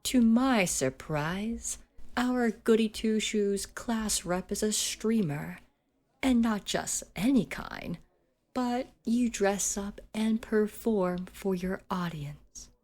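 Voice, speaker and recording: A woman's voice: medium pitch, slow, darkish tone. A woman acting a mocking, domineering schoolgirl bully in an ASMR roleplay, condescending and teasing with menace. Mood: smug, threatening.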